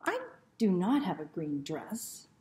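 An indignant storybook dog, acted out by a woman, protesting with slow, emphatic exclamations. He is easily flustered, and the room adds a clear echo. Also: on the low side, very animated, darkish tone, slight background noise. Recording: roomy indoor echo.

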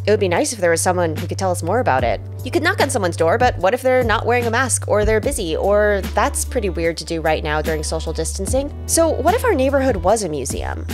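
A woman's voice: mid-range voice, very animated, fast talker, few pauses, faint music behind. A woman, presenting scripted narration as a video host.

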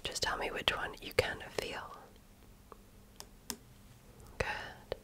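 Slow whispering woman in ASMR role-play. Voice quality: soft breathy whisper. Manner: gentle, measured, attentive. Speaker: ASMR performer playing a medical examiner.

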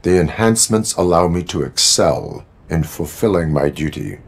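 A man playing a stoic cyborg policeman in a video game, speaking in a clipped, formal, deadpan way. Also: low voice, normal speed. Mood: formal, dutiful. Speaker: game character.

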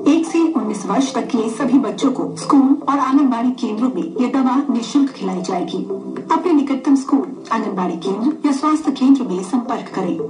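A woman with an Indian accent reading a scripted public-service announcement on radio, through a boombox speaker. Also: fairly quick, music in the background, low-quality recording.

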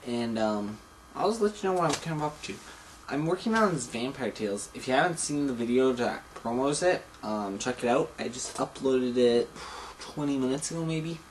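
A man's voice: mid-range voice, moderate pace, a little hiss or hum, poor audio. A young man in his late teens chatting casually to camera as a tutorial host, rambling with lots of ums, recorded indoors by a camera mic a few feet away. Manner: relaxed, rambling, many ums. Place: indoors in front of a blue screen.

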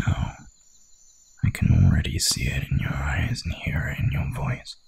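A man voicing a hungry, dominant werewolf hunter in an ASMR roleplay, with a gravelly, breathy growl and a menacing, seductive drawl. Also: very deep voice, normal speed, exaggerated voice. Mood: mocking, sceptical.

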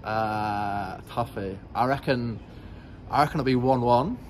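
A man's voice: medium pitch, unhurried, a little mellow. A young man from North East England answering a street interview as a football fan, hesitant, with many "um"s and "like"s. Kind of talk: street vox pop. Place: city street outdoors. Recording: noisy street background.

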